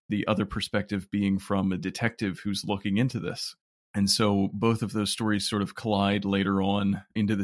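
A man's voice: fairly low pitch, vocal fry, dry recording, studio quality. A man talking conversationally as an interview guest. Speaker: podcast guest, drama maker.

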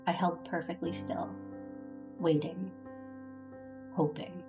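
A woman's voice: fairly low pitch, fairly even intonation, takes it slowly, over music. A woman playing an earnest, devoted female Jedi Master, narrating an audio drama in the first person. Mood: tender, hopeful.

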